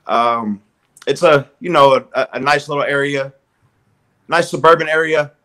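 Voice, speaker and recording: A man's voice: a little high, fairly even intonation, a little slow, sharp tone. Friendly, upbeat Southern Californian man. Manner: upbeat, friendly, repeats words while thinking. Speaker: guest, strength and conditioning coach. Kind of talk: casual video call interview. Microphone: video call.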